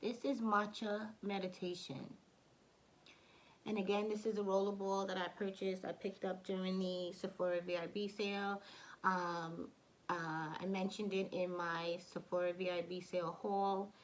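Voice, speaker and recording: Hoarse chatty woman reviewing fragrances. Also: mid-range voice, little pitch movement, normal speed, low-quality recording. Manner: chatty, many fillers and restarts. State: hoarse from allergies, coughing. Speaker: beauty vlogger. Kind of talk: casual product review.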